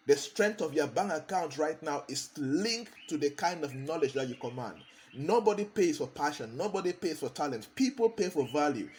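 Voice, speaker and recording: A man's voice: fairly high pitch, darkish tone. A man, a consultant giving a motivational talk, hammering points home by repeating key phrases. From Cameroon.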